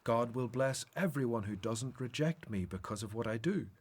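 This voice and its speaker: Man acting gentle prophet-teacher, husky, widely varied pitch, assured, earnest, radio play. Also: expressive, moderate pace. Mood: gentle, caring. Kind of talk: radio Bible drama acting.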